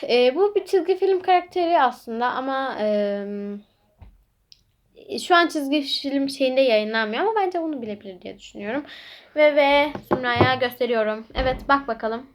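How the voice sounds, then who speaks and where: High-voiced woman hosting a casual game video. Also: expressive, normal speed. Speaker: host of a children's guessing game video. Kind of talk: casual playful chat to viewers and a child. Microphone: phone held by the speaker. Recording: noisy home phone recording with clicks.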